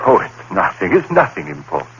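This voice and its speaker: An actor playing a frightened, reason-bound professor in a 1940s-style radio play, narrating in a dramatic, brooding way. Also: male voice, deep voice, wildly swooping pitch, a little fast. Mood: evasive. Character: haunted male professor, rational and frightened. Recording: dull old radio broadcast with faint hiss.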